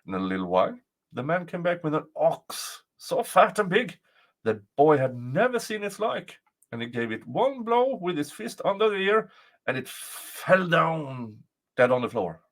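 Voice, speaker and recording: Male storyteller with very animated intonation. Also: on the high side. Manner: deliberate, dramatic phrasing. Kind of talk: expressive folktale reading.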